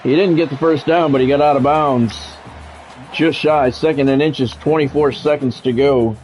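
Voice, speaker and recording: A man's voice: fairly high pitch, fairly even intonation, normal speed, a little mellow, soft background music, rough recording. A middle-aged man doing live football game commentary mixed with casual chat, talking in a blunt, profane, conversational way. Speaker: game streamer and play-by-play commentator.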